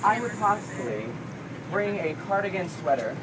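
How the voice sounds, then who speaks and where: A man's voice: lively intonation, normal speed. Man playing fussy, swooping, vain suitor. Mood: fussy, haughty. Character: fussy, vain, dainty male suitor who loves accessories. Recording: distant mic, loud rumbling noise.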